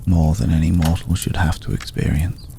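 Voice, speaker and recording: A man playing a courteous, brooding old vampire lord in an intimate ASMR roleplay, speaking in a measured, solemn, deliberate way with long pauses. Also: flat delivery, warm tone, a little hiss or hum. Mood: grave. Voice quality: deep, gravelly. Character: courteous, brooding centuries-old male vampire lord, tender toward a mortal.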